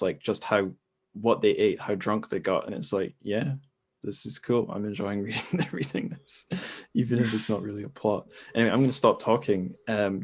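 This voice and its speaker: Low-voiced man hosting a casual podcast. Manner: informal, conversational. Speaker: podcast host. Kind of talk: casual book discussion.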